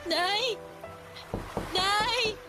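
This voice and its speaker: An actress playing a pregnant young daughter in a Tagalog radio drama, pleading with respect, ashamed and apologetic. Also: female voice, extremely high pitch, very slow, loud music behind the voice, heavily acted. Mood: urgent, fearful. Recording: clear background noise.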